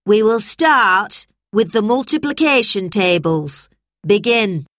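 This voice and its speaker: A woman's voice: mid-range voice, lively intonation, takes it slowly, fairly bright tone, no echo, clean studio sound, voice pushed up. A woman voicing a strict, menacing schoolmistress in a children's story, stern and commanding. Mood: angry, stern.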